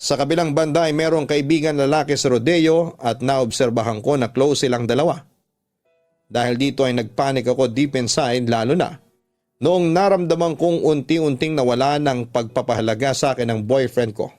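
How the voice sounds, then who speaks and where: A man's voice: medium pitch, moderate pace, a little mellow. A man narrating a first-person letter in an earnest, sentimental radio drama style. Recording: music under the voice.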